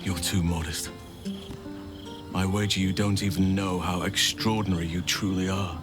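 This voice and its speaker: An actor playing a gentle, long-lived druid in a video game, speaking earnestly in a measured, formal, tender way. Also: male voice, low-pitched, fairly even intonation, over music, natural voice. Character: ancient, gentle, earnest male druid confessing love. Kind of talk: sincere video game acting.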